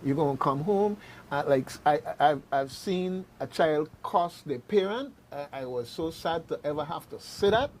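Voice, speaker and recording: Guy chatting on a panel, slightly high voice, emphatic, repeats himself, bit of background noise. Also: lively intonation, moderate pace, darkish tone. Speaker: panelist on a radio discussion. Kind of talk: casual panel discussion.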